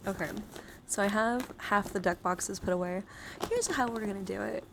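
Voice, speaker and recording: A woman livestream host chatting casually, often dropping into soft murmurs and whispers. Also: medium pitch, moderate pace, vocal fry. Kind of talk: casual live-stream chat. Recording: steady background noise.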